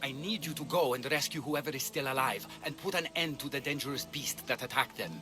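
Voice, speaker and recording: Actor as an earnest healer, music behind. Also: male voice, mid-range voice, lightly acted. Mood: urgent, concerned. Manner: earnest, measured. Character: earnest, conscience-torn ancient Greek healer. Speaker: video game character. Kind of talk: dramatic game cutscene dialogue.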